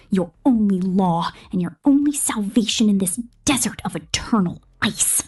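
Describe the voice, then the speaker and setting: A woman voicing a possessive, cold female killer drone robot in a breathy, slow, menacing whisper for an ASMR roleplay. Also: a little high, a little mellow.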